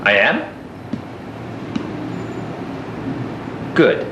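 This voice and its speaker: A man's voice: mid-range voice, wildly swooping pitch, normal speed, dull audio. An actor playing a bewildered, needy man in a stage play, plaintive and defensive. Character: bewildered, needy man being needled on a park bench. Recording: roomy, distant, noisy live stage recording.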